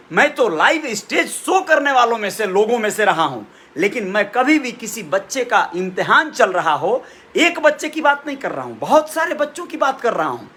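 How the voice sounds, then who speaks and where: A man's voice: high-pitched, pushed and bright. A middle-aged man gives an informal, earnest opinion monologue to viewers, repeating phrases for emphasis. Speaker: vlogger giving opinions.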